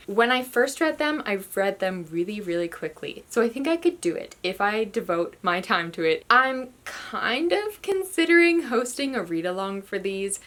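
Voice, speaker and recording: Young female book vlogger, chatty and eager, voice a bit hoarse from a cold. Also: medium pitch, fairly bright tone. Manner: chatty and enthusiastic. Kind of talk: casual book talk to camera.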